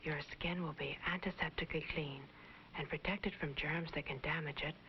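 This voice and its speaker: Woman acting 1950s commercial presenter, earnest, hushed soft delivery, rather low pitch, muffled old broadcast with faint noise. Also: fairly even intonation, fairly quick, close to an everyday voice. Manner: soft, breathy, near-whisper in places. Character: soft-spoken earnest female skin-cream demonstrator. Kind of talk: 1950s TV ad demonstration.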